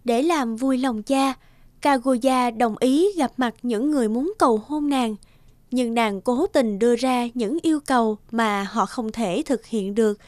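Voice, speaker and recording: High-pitched woman narrating a fairy tale. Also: measured pace, a little mellow, no echo.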